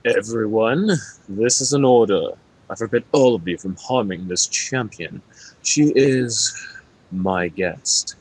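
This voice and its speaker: A man's voice: lowish voice, slow, a little mellow, slightly rough, rough recording. Man playing a haughty, mocking demon lord. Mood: imperious. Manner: nonchalant, taunting, commanding. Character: regal male demon lord, ageless, haughty, mocking, playfully menacing.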